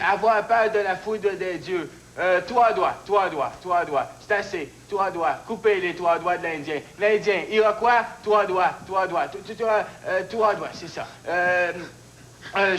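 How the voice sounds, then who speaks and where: Flustered, high-voiced Quebec contestant, slow-paced. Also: male voice, a bit bright. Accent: Quebec French. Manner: halting, listing guesses in fragments. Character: flustered, hapless male contestant guessing mimed clues. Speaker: game show contestant.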